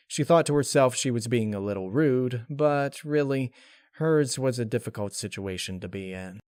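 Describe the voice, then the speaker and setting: A man reading scripted dark fan fiction aloud as its narrator. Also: medium pitch, clean tone, no room sound, studio quality.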